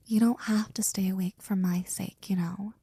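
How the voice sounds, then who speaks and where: A woman playing a devoted, flirty and jealous maid in an intimate ASMR roleplay, speaking softly and close to a whisper with teasing, courtly wording. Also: mid-range voice, dry recording, exaggerated voice. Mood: coaxing, tender. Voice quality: soft, breathy, often near whispering. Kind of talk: ASMR roleplay, intimate one-sided scene.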